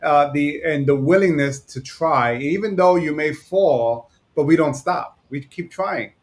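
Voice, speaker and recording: A man from New York who owns a digital agency, talking fast and rambling in a casual chat. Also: on the high side. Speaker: digital agency owner, co-host.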